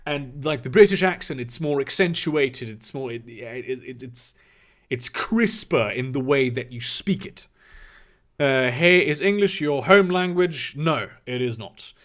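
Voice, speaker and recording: A man in his twenties whose first language is German, answering chat questions on a livestream in a halting, casual way. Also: medium pitch, lively intonation, a bit bright. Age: 20s. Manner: halting, restarts often, reads donor questions aloud.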